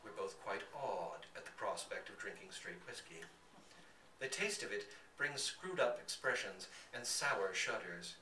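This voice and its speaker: Animated man reading a story, roomy sound. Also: on the low side, a little slow. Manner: theatrical, dramatic emphasis. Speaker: reader at a live literary reading. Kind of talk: expressive literary narration. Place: indoor public reading in a bookstore. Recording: roomy, distant mic, faint background noise.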